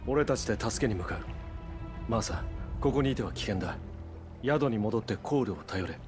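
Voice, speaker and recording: Man acting a grim mercenary leader, low-pitched, terse and commanding, resolute, dramatic video game scene, music behind. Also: lively intonation, normal speed, natural voice. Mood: firm, concerned.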